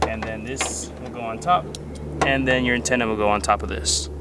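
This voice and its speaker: Male co-presenter, mid-pitched, casual walkthrough delivery, noisy outdoor recording. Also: steady tone, fairly quick. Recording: noisy outdoor urban background.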